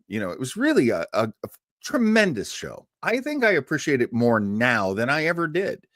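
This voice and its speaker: Man, casual livestream host, rambling and jokey, animated pitch, unhurried, dry close sound. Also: dry recording. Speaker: host of an old-time radio show. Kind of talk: casual livestream chat and introduction.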